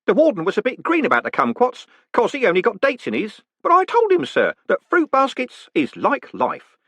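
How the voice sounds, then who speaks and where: A man's voice: extremely high pitch, wildly swooping pitch, normal speed, fairly bright tone, husky, no echo, pitched above the performer's own voice, heavily acted. A man voicing a cheerful, deferential prison warden who rambles on and calls everyone sir. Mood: chatty.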